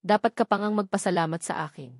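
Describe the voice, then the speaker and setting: A woman's voice: mid-range voice, fast talker, dry recording, studio quality, close to an everyday voice. A woman voicing a pained, pleading young son torn between his mother and his love in a story. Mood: indignant.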